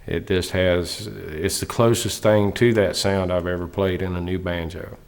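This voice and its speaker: A man's voice: low voice, steady tone, a little slow, big-room sound. A man in his thirties, a banjo player speaking earnestly in an interview with a few fillers. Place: studio with dark backdrop.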